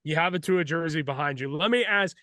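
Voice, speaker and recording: Man, sports show host, forceful and bright, dry recording. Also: a little high, brisk, no room sound. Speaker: podcast host. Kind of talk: sports podcast hosting.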